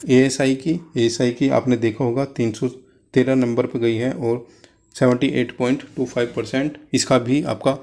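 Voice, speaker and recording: A man's voice: medium pitch, darkish tone. A man presents as an exam coach, explaining informally and step by step to students, mixing in English terms.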